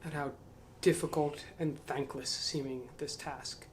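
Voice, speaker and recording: Slow, musing man reading aloud. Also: fairly high pitch, steady tone, slight background noise. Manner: slow, musing, self-questioning, trails off into mumbles. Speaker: channel host reading a book aloud. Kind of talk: reading aloud with reflective commentary. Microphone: to camera.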